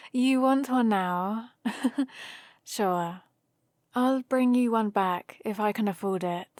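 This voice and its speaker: A woman's voice: mid-range voice, slow, darkish tone, no room sound, studio quality, natural voice. A woman playing a friendly, teasing human heroine in an ASMR roleplay, explaining things casually and patiently to the listener. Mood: playful, friendly. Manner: casual, playful, explanatory rambling. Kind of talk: ASMR roleplay, one-sided conversation with the listener.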